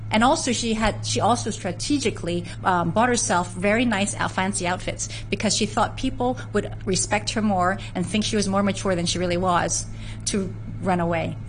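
A woman from San Francisco speaks as an interview guest, earnest and unhurried as she tells family history. Her pitch sits in the middle range. A faint noise runs underneath. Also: low-quality recording. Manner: earnest, storytelling, with frequent restarts. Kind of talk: conversational interview.

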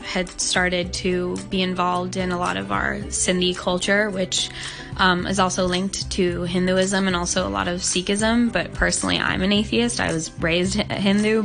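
A woman's voice: lowish voice, vocal fry, fairly bright tone. A nineteen-year-old woman from Hong Kong, a podcast guest answering questions in an earnest, thoughtful way, with many "like" fillers. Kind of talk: casual interview answers.

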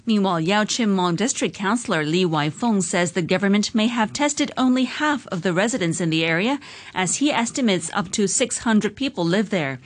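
Woman reading the radio news. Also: mid-range voice. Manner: measured, even newsreader delivery. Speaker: news anchor.

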